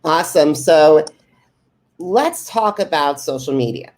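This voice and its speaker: Guy podcast host, deliberate delivery, asking his interview questions. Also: on the high side, animated.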